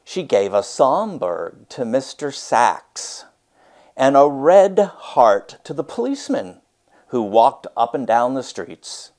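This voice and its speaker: A man teaching and reading a storybook aloud with deliberate, emphatic, expressive phrasing. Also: medium pitch.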